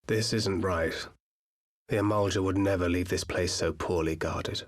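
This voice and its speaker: An actor playing a wary, serious male adventurer in a video game, speaking gravely. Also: low voice, fairly even intonation, moderate pace. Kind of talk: video game cutscene acting.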